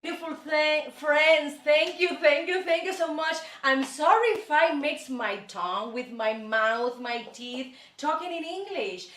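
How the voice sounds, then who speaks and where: Echoey, high-voiced Cuban woman hosting. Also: fairly bright tone. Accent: Cuban Spanish. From Cuba. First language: Spanish. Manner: effusive, halting non-native English. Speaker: show host. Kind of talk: chatty show presenting to viewers. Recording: roomy echo, distant microphone.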